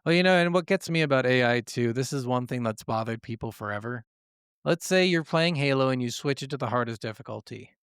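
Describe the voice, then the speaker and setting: A man with a clean, smooth voice in a very dry recording, chatting casually as a podcast co-host. He is blunt, grumbles freely and swears often, at an average pitch. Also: lively intonation, brisk, fairly bright tone, no echo. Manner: blunt, heavy swearing, complaining. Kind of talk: casual gaming discussion.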